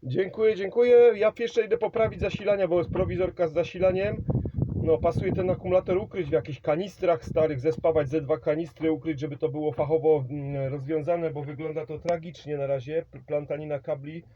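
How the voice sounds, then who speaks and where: A man's voice: a little high, fairly even intonation, fairly quick, dark tone, muffled recording, slight background noise. A man working a CB radio contact, rambling about his trailer setup, giving signal reports and handing over the microphone. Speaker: CB radio operator.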